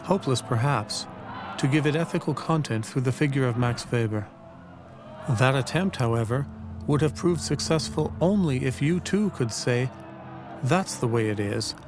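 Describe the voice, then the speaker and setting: A man voicing an older male professor, reading a formal letter slowly and deliberately for a documentary. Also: medium pitch, animated, lightly acted. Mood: earnest. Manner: slow, measured, formal. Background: clear music.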